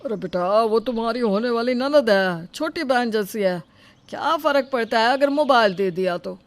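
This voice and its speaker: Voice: mid-range voice, expressive, moderate pace, natural voice. A middle-aged father in a storybook family drama, put on by a voice actor. He is reassuring and indulgent, placating and advising his child. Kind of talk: dramatised Hindi story acting.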